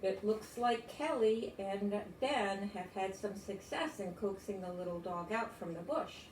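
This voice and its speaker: An older woman from the United States reads a children's story expressively. Her voice is of medium pitch. It is picked up from a little way off in a roomy space, with faint noise. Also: a little slow, a little mellow, distant mic. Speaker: storybook reader.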